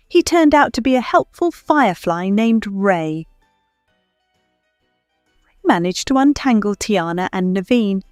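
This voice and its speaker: A woman reading a scripted children's story as narrator, in an expressive storytelling manner. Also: medium pitch, no echo, studio quality. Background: faint music.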